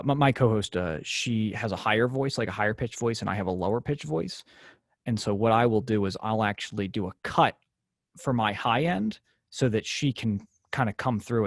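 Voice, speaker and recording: A man teaching a software class over a video call, explaining casually with lots of fillers. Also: fairly low pitch, dry recording, clean studio sound. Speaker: class instructor.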